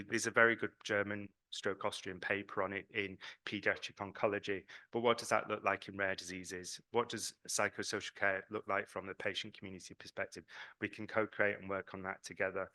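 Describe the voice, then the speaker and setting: A man's voice: on the low side, bright, forceful tone. A man from Britain with a British accent, presenting at a conference in an earnest, emphatic way as an advocate. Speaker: patient advocacy representative speaking at a conference. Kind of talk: conference presentation, semi-spontaneous.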